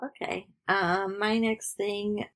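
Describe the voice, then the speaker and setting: A woman co-hosting a casual podcast, a little congested, chatting in a relaxed and easygoing way. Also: medium pitch, slow. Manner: relaxed, chatty, laughs easily. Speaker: podcast co-host. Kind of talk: casual chat.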